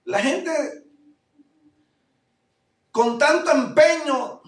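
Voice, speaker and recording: A male pastor from Puerto Rico with a Puerto Rican accent, preaching a fervent sermon that swings between loud declarations and low asides. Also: extremely slow. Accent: Puerto Rican Spanish. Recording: echoey room, voice from far off mic.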